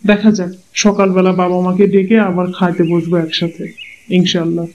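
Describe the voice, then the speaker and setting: A man with a Bangladeshi Bengali accent voicing a trusting, easygoing young husband in a cartoon, speaking calmly and reasonably. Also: sits high, fairly quick, natural voice. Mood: puzzled. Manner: calm, reasonable explaining. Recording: dull lo-fi sound.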